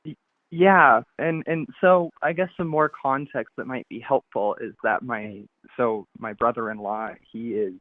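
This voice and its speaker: A caller with a masculine-sounding voice, speaking haltingly with frequent restarts while confiding on a phone-in. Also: medium pitch, no echo. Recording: tinny phone line.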